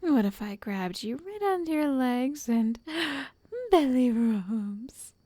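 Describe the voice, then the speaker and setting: A woman voicing a possessive, doting witch in an ASMR roleplay, soft and largely whispered, coaxing the listener with sweet menace. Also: fairly high pitch, sing-song, no room sound, exaggerated voice. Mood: doting, affectionate. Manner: slow, coaxing, intimate, addressing the listener.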